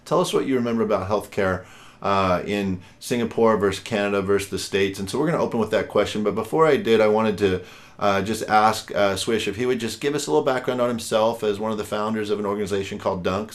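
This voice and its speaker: This is a man in his forties with a low-pitched voice, hosting a podcast interview. He talks in a chatty, rambling way and keeps dropping in fillers as he works his way toward his questions. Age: 40s. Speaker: podcast host.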